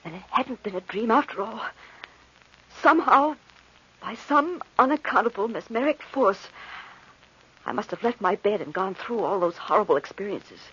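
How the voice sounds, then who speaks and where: An actress playing an anxious, determined young bride in an old radio horror play, with urgent, melodramatic delivery. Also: female voice, mid-range voice, lively intonation, moderate pace, a bit bright, husky. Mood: haunted, tense. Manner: breathless, melodramatic. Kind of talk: old-time radio horror drama with narration. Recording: muffled vintage radio recording.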